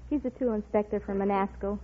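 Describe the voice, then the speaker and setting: A woman's voice: medium pitch, fairly even intonation, quick pace. A woman giving short, casual answers as a quiz show contestant on an old radio broadcast. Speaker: quiz show contestant, Brownie troop leader. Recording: tinny old radio, loud studio audience.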